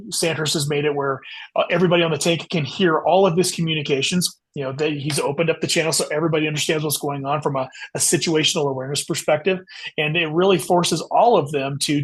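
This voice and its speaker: Man, husky, somewhat high pitch, guest author, conversational interview, earnest long answers. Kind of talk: conversational interview about writing.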